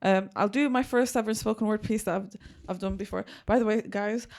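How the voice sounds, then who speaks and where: A woman's voice: medium pitch, steady tone, brisk, a little mellow, no echo. A twenty-year-old woman recites spoken word poetry into a handheld mic from her seat, with chatty, self-deprecating asides between the verses. She sounds a little shaky, and the recording is close and dry, with no room sound. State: a bit shaky. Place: panel event in a city venue. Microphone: handheld mic, seated.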